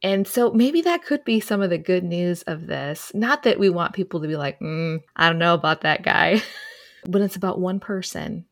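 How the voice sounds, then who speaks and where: A woman's voice: lowish voice, normal speed. A woman hosting a podcast interview, asking thoughtful questions and affirming her guest often. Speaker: podcast host.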